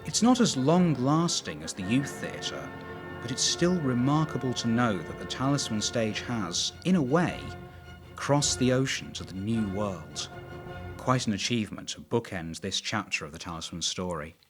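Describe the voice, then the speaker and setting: A man's voice: mid-range voice, lively intonation, moderate pace. A man reading scripted documentary narration. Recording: prominent music bed behind voice.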